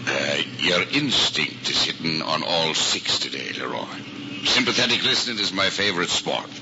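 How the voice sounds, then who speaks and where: An actor playing a good-natured, slangy, folksy cab driver in an old-time radio comedy. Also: male voice, very deep voice, animated, normal speed. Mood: amused, sympathetic. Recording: muffled, very noisy old radio recording.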